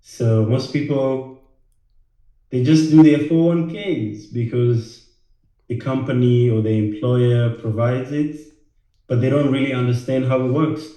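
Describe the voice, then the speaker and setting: A man from Rwanda, a financial educator, talking casually to the camera, halting with many fillers and repeated words. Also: mid-range voice, fairly even intonation, warm tone. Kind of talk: casual direct-to-camera talk. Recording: very echoey room.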